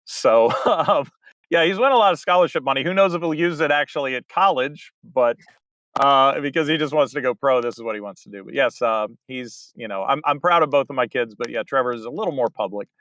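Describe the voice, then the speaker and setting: Middle-aged male director as guest, talks loosely with lots of "uh", mid pitch, no room sound. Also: brisk, fairly bright tone, no echo, rough recording. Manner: chatty, rambling with fillers and restarts. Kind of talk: casual interview answers.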